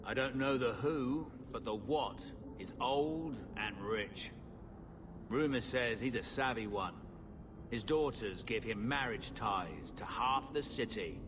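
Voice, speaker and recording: An actor playing a gruff, cynical underworld boss in a video game cutscene, blunt and sardonic, with background ambience. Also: male voice, mid-range voice, expressive, slow pace, noisy background. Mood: sardonic, matter-of-fact.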